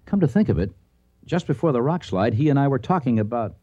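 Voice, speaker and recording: An actor playing a reasonable, thoughtful American dig leader in a radio drama. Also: male voice, mid-range voice, very animated, dark tone, husky, no room sound. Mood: measured, doubtful. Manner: measured, thoughtful.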